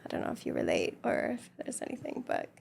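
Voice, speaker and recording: A woman guest on a podcast, speaking in a soft, gentle voice in an earnest, reflective, casual conversation. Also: extremely low pitch, huge pitch swings, fast, creaky, slight background noise. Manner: earnest, reflective chat with frequent laughs.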